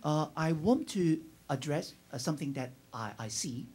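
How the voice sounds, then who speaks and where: Husky man. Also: a little high, expressive, a little mellow. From Hong Kong. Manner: halting, frequent uh, emphatic bursts. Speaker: audience member asking a question.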